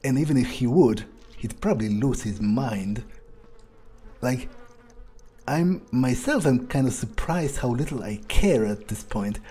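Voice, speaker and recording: A man's voice: medium pitch, animated, unhurried, warm tone, breathy edge. A man playing a video game and commenting in character, thinking aloud with hesitant, dryly understated musing. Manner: hesitant musing, dry understatement, casual swearing. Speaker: video game player and host.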